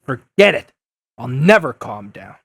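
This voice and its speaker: An actor playing a young masked superhero in an audio drama, sarcastic, flustered and easily exasperated. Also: male voice, medium pitch, sing-song, unhurried, vocal fry, fairly bright tone, slightly rough, no room sound, studio quality, heavily acted. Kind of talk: audio drama dub acting.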